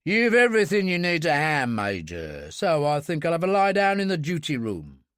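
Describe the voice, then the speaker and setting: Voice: mid-range voice, huge pitch swings, normal speed, fairly bright tone, no room sound, studio quality. A narrator doing a laid-back army sergeant. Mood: easygoing. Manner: casual and chummy. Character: easygoing British army sergeant, tired and informal. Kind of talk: audiobook character voice.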